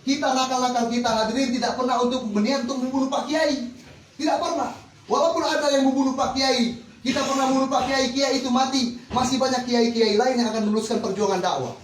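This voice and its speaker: A man's voice: very high-pitched, steady tone, fast, a little hiss or hum. A man preaching a fervent, rhythmic sermon to a congregation, repeating set phrases. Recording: echoey hall recorded from far off.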